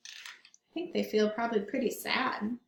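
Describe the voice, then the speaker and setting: A woman teacher reading a picture book aloud to children in a gentle, slow way, stopping to ask them questions. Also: on the high side, creaky, slight background noise. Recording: roomy echo.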